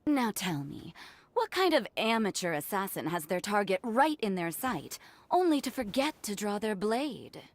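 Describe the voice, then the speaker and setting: A woman's voice: medium pitch, big pitch swings, normal speed. Posh-talking vampy noblewoman for a game, playful n teasing, voice swings all over. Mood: teasing, amused. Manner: teasing, theatrical, elegant phrasing. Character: haughty, playful, predatory noblewoman with a taste for blood. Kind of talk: video game cutscene acting.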